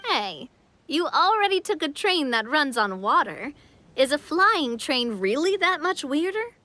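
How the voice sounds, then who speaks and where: A woman's voice: high voice, very animated, measured pace, sharp tone, exaggerated voice. A woman playing a cheerful, confident young adventurer guide in a video game, upbeat, playful and reassuring. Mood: playful, assured. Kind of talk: video game character acting.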